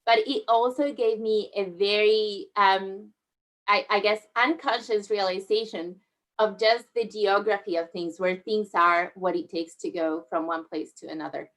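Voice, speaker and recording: A middle-aged woman from southern Spain giving a friendly, measured career talk over slides in an online session, with frequent fillers. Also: mid-range voice, steady tone, poor audio. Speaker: guest speaker. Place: online video call.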